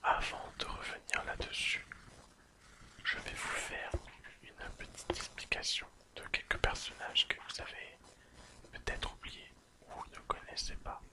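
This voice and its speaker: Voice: a little slow, low-quality recording. A person whispering an ASMR episode recap in a careful reading manner. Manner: careful reading, apologises and corrects slips. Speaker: ASMR video creator.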